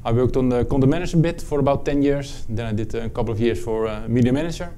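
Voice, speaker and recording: A man from the Netherlands with a Dutch accent, presenting a technical product talk at a conference, halting, with many fillers and restarts. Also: mid-range voice, mellow, slight background noise. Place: conference room with audience.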